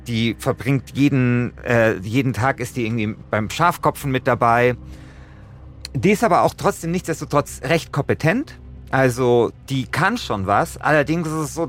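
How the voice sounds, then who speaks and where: A man co-hosting a podcast, explaining things in a casual, colloquial and rambling way. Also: mid-range voice. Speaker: podcast co-host. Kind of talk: casual, unscripted chat and explaining. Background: faint music.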